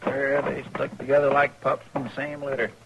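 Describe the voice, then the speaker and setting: An actor playing a folksy, respectful deputy sidekick in a radio western, with a rural American drawl. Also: male voice, mid-range voice, little pitch movement, brisk, vocal fry, fairly bright tone. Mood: puzzled. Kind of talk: old-time radio western acting. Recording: dull, faintly hissy old radio recording.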